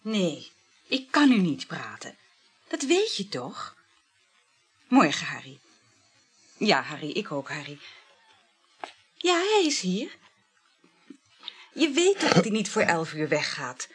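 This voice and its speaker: An actress in a radio play voicing a dreamy, flirtatious married woman, speaking in a breathy, languid, halting murmur. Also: lowish voice, huge pitch swings, exaggerated voice. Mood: soothing, evasive. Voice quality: breathy, husky. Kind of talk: intimate radio play acting.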